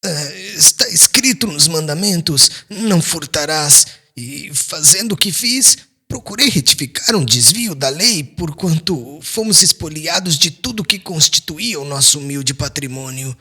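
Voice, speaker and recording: A man's voice: a little high, animated, measured pace, hardly any pauses, pushed and bright, slightly rough, pitched above the performer's own voice, heavily acted. A man voicing an anguished, remorseful old father in a dramatized audiobook, somber and sighing. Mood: defensive, aggrieved. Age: older.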